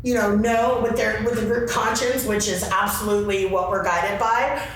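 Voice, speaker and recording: A woman speaking off the cuff in a panel discussion, phrasing her thoughts haltingly and reflectively. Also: mid-range voice, steady tone. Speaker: panelist at a fellowship convention. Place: convention meeting room. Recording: echoey room from far off, faint noise.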